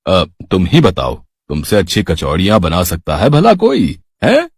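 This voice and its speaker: A man voicing a good-humoured middle-aged Indian husband and father in a cartoon story, husky, coaxing and wry. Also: sits low, huge pitch swings, normal speed, dry recording, clean studio sound, exaggerated voice. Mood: teasing, coaxing.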